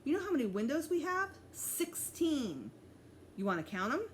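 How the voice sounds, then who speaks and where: A woman's voice: high voice, very animated, moderate pace, a little hiss or hum, pitched above the performer's own voice. A woman voicing a cocky, enthusiastic school-age boy who likes to show off, in a children's storybook reading. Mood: boastful. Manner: boastful, eager.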